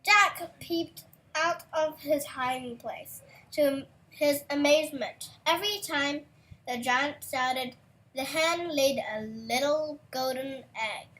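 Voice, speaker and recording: A woman's voice: high voice, takes it slowly, a bit bright, recorded from a distance, poor audio. A young female reader narrating a fairy tale aloud from a book. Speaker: storybook reader.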